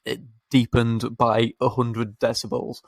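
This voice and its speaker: A man's voice: medium pitch, completely flat delivery, measured pace, vocal fry, dry recording. Young male co-host, sweary rambling banter, dead-dry close mic. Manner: sweary, rambling, laughs while talking. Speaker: podcast co-host. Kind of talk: casual podcast banter.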